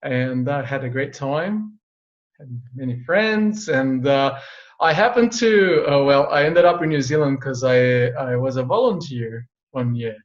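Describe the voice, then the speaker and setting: A man's voice: mid-range voice, lively intonation, a little slow. A young male pastor from Brazil, a native Portuguese speaker, chatting in an easygoing, modest way and laughing often. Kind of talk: casual interview chat. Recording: dull lo-fi sound.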